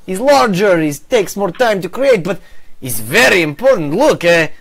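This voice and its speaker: Brief: a man playing a shopkeeper with a mock Russian accent, broken grammar, lively sing-song pitch. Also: moderate pace, full character voice. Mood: salesy. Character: shabby Russian-accented male toymaker shopkeeper, pushy salesman. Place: home room on a video call.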